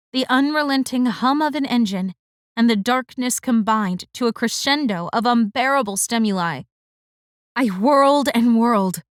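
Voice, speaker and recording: A woman reading a first-person romance audiobook in a measured, expressive way. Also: on the high side, dry recording, clean studio sound. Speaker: audiobook narrator.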